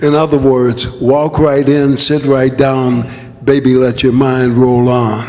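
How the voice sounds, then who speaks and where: A man moderating an audience Q&A, relaying questions haltingly with restarts. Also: medium pitch, flat delivery, a little mellow. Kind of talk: hosting a Q&A. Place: auditorium with audience. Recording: reverberant hall.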